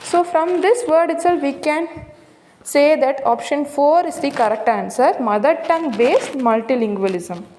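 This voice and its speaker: A woman with a distinctly high voice and a South Indian accent gives a lesson. She reads out exam questions and options, then explains each answer step by step in an instructional manner. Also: moderate pace, darkish tone, slight background noise, poor audio. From Tamil Nadu, India. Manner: explanatory, checks with "right?" and "okay". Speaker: teacher.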